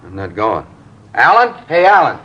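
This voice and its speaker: An actor playing a blunt, resentful garage worker and petty thief in an American crime drama. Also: male voice, mid-range voice, huge pitch swings, normal speed, sharp tone, exaggerated voice. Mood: defensive. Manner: blunt, combative. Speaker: actor in a police radio drama. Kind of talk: mid-century American crime drama acting. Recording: dull vintage broadcast, faint noise.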